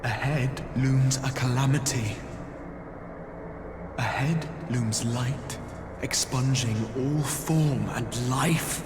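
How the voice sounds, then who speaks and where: Game spirit: portentous, halting, drawn-out delivery, heavy echo, noisy rumbling bed. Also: male voice. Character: mysterious disembodied voice calling out with prophetic warnings. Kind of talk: scripted video game voice acting. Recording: echoing, long reverb, noisy rumbling backdrop.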